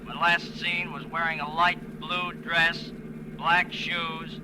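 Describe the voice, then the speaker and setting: Casting: official police radio dispatcher, fairly high voice, impersonal and repetitive, noisy vintage broadcast with engine hum. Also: male voice, level tone, takes it slowly. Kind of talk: 1940s radio drama police bulletin.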